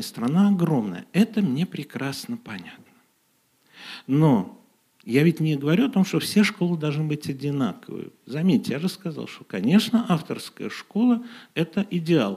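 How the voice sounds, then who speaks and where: Gravelly, husky-voiced man arguing firmly. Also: a little high, very animated, normal speed, dark tone. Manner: firm, declarative, polemical. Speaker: teacher. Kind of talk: panel discussion. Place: gymnasium hall in front of an audience.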